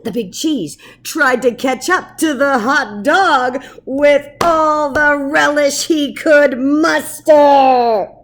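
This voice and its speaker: A woman reading a children's story aloud as narrator, dramatic and expressive. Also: high voice, slow. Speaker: storybook reader. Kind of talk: animated read-aloud narration.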